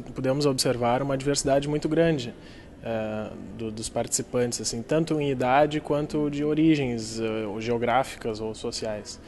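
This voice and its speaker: A man hosts an interview, politely introducing his guests and putting questions to them. His voice sits at a middle pitch. Faint background noise can be heard. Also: a little mellow. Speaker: interviewer for a youth newspaper. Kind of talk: interview hosting.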